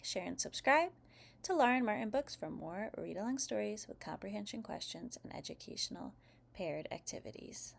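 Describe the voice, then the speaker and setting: A woman reading expressively from a script for young children in a clear, teacherly manner. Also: medium pitch. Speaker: host reading children's picture books aloud.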